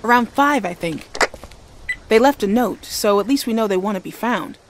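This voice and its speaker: Casting: actress as female survivor scout, mid-pitched, composed and persuasive, game drama. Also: lively intonation, moderate pace, noisy background, lightly acted. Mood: calm, businesslike. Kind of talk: video game drama acting.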